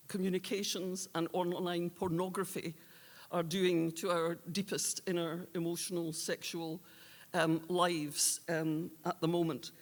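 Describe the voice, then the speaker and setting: A woman presenting awards in an unscripted, halting, long-winded and earnest way. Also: on the low side. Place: indoor awards hall. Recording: reverberant hall.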